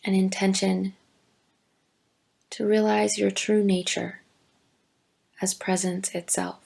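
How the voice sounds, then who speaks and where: A woman teaching yoga nidra, giving gentle, deliberate guided meditation instructions with long pauses. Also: mid-range voice, level tone, gravelly, slightly rough. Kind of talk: slow guided meditation narration.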